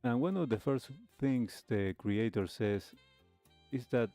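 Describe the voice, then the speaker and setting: A man hosting a talk show, giving measured, explanatory commentary. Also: mid-range voice, animated, warm tone, dry recording, soft background music. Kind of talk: reaction commentary.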